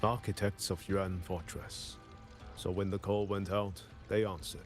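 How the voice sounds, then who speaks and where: A resolute guardian commander from a video game. His voice is very low and his delivery is slow, measured and solemn. He sounds like someone bearing grave duty. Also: little pitch movement, soft background music. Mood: solemn, storytelling. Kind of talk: video game dialogue acting.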